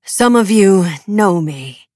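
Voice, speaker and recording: A woman's voice: lowish voice, measured pace, breathy edge, no echo, studio quality. A woman voicing a ruthless male preacher from a storybook, declaiming firm and commanding.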